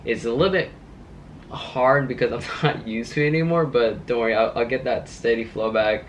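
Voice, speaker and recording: A man's voice: medium pitch, steady tone, brisk, a little hiss or hum. A man in his late twenties chatting casually to his vlog audience, rambling and laid-back with plenty of fillers. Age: 20s. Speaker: car vlogger.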